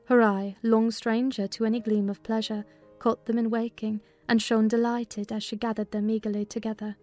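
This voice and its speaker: A woman's voice: fairly high pitch, little pitch movement, moderate pace, a little mellow, soft background music. A woman reading scripted audiobook narration of a classic novel.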